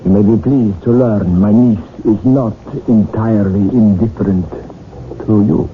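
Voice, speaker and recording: An actor playing a stern, calculating older guardian, a professor, in a radio drama, with formal and deliberate delivery. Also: male voice, fairly low pitch, lightly acted. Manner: formal, deliberate, measured with pauses. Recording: muffled, noisy vintage radio recording.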